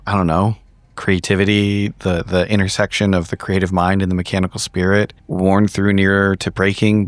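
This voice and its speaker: A man's voice: sits low, no echo. A man in character as a dreamy, apologetic agent, musing hesitantly in florid words, in a horror roleplay. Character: dreamy, apologetic agent preoccupied with art and the uncanny.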